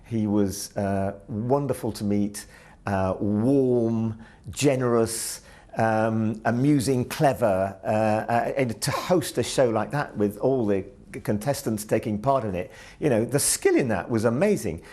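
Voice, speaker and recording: A man's voice: on the low side, measured pace, mellow. A man giving a spontaneous interview tribute, hesitantly searching for words.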